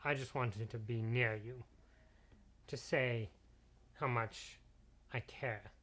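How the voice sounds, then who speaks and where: A man voicing a flattering young knight who speaks in a slow, courtly way, with hesitant fillers when caught off guard. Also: medium pitch. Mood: tender.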